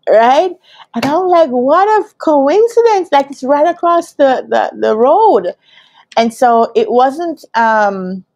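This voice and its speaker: Jamaican woman telling a story, expressive. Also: mid-range voice. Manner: animated, expressive, acts out quoted dialogue. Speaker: business analyst trainer telling her career story. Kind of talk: casual storytelling to camera. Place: quiet indoor room with curtains. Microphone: lapel.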